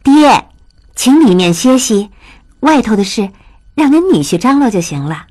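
A storyteller voicing a cheerful, deferential young wife. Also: female voice, on the high side, sing-song, normal speed, darkish tone, voice pushed up.

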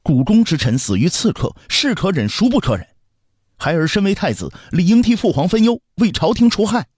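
A man's voice: sits high, very animated, fairly quick, a little mellow, husky, dry recording, studio quality, pitched above the performer's own voice, exaggerated voice. A man voicing a proud, stubborn eight-year-old crown prince who speaks formally and defiantly in a historical audio story. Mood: righteous, indignant.

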